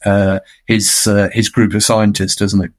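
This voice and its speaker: A man's voice: lowish voice, level tone, unhurried. A man speaking as a podcast panelist in a reflective, conversational discussion style.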